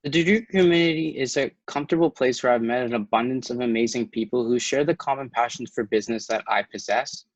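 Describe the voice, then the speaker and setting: A man's voice: medium pitch, fast talker, few pauses, no echo. Earnest young man, lo-fi sound. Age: young adult. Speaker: student rep candidate. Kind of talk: campaign speech in a debate.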